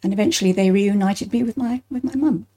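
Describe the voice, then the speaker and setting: An older woman with an English accent speaks in a mellow, soft-edged voice at a middle pitch. She tells personal memories conversationally, walking through them in detail as a guest in an interview. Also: brisk. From Bristol, England.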